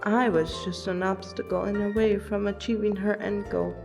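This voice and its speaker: A woman's voice: mid-range voice, fairly even intonation, creaky, darkish tone, soft background music, close to an everyday voice. A woman playing a teasing, affectionate vampire friend in an ASMR roleplay, chatty and theatrical. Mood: wistful, tender. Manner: teasing, conversational, with dramatic pauses and exclamations. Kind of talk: ASMR roleplay audio drama.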